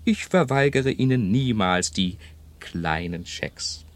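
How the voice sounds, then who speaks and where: A man's voice: lowish voice, lively intonation, takes it slowly. An actor playing a talkative, gossipy elderly man in a radio drama, chatty and theatrical, quoting others vividly. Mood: chatty, amused. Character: talkative elderly Frenchman, gossipy, fond of late neighbour.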